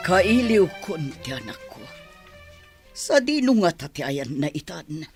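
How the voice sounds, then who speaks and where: A woman's voice: on the low side, big pitch swings, a little slow, over music. A woman playing a stern, haughty middle-aged mother and mistress of the house, imperious and scolding, in an audio drama. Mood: worried. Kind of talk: Filipino audio drama acting.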